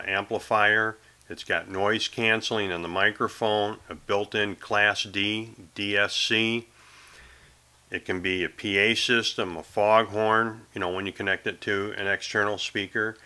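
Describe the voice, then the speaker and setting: Bright, low-voiced man explaining boat gear. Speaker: sailor presenting his own boat installation video. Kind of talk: how-to narration with casual demonstration.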